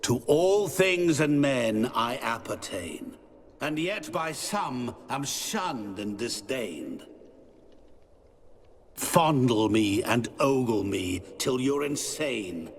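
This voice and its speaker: An actor playing a sinister, playful immortal devil in human guise in a video game, taunting with riddles and savoring every word. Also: male voice, mid-range voice, lively intonation, slow pace. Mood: riddling, theatrical. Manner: theatrical, mocking, savoring words. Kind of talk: dramatic video game acting.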